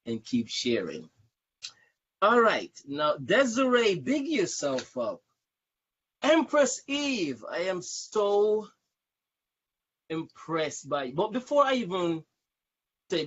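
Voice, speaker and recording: A man's voice: medium pitch, a bit bright, slightly rough. A man hosting a casual live-stream interview, repeating words and phrases for emphasis. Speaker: show host, interviewer. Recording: dull, lo-fi livestream audio.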